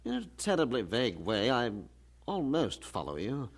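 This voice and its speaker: An actor playing a genial, bemused young male scholar with formal, measured wording in an audio drama. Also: mid-range voice, huge pitch swings. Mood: bemused, encouraging.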